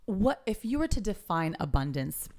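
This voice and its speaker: A young woman hosting a casual chat podcast, bubbly and expressive, laughing easily as she talks. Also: medium pitch, moderate pace. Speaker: podcast host.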